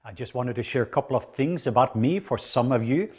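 A man's voice: medium pitch, lively intonation, brisk, a little mellow, slightly rough. A middle-aged man from southwest Norway with a Norwegian accent, preaching a sermon in an earnest, emphatic way with many fillers.

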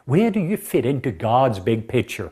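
A middle-aged man with a Norwegian accent delivers a sermon in an earnest, emphatic manner. He often pauses on fillers between phrases, and his voice sits at an ordinary pitch for a man. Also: sing-song, warm tone, breathy edge. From southwest Norway. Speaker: preacher.

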